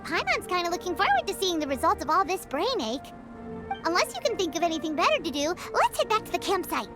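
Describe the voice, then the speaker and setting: A woman voicing a tiny, childlike female fairy companion in a video game, pouty, excitable and blunt, with a squeaky voice. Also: fast talker. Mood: mildly eager. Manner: refers to herself in third person, complaining. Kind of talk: English video game character dialogue. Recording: music behind the voice.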